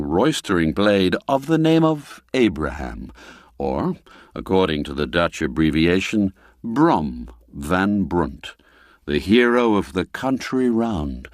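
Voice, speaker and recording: A man narrating a classic literary story in a measured, deliberate and expressive storytelling manner. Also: sits low, no room sound, clean studio sound.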